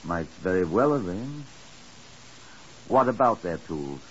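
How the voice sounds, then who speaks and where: An actor playing a commanding British police detective in a 1950s radio drama, curt and authoritative. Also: male voice, sits low, sing-song, takes it slowly, a bit bright, full character voice. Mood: businesslike. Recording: muffled, hissy 1950s radio recording.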